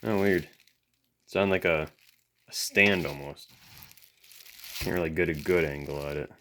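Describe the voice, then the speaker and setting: A man's voice: extremely low pitch, a little slow, a bit bright, slight background noise. A man giving casual, off-the-cuff hands-on commentary as a hobbyist video host, musing and thinking aloud as he goes.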